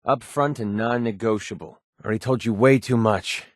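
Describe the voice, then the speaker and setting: An actor playing a cynical, wisecracking young mercenary for a video game, with an American accent and a streetwise, informal delivery. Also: male voice, fairly low pitch, fairly quick, no room sound, clean studio sound, natural voice. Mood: wary, irritated. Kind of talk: video game companion dialogue.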